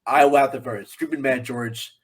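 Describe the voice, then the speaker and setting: A man talks films as a podcast guest. His delivery is casual, rambling and enthusiastic, with a middle-range pitch. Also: steady tone, normal speed, husky. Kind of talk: casual film discussion.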